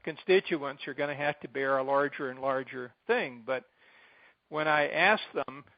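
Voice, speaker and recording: Middle-aged American male, advocacy leader on a muffled conference call, creaky voice, deliberate and emphatic phrasing. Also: mid-range voice, no echo. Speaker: advocacy group president addressing supporters. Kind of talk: informal briefing on a conference call. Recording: dull, band-limited conference call line.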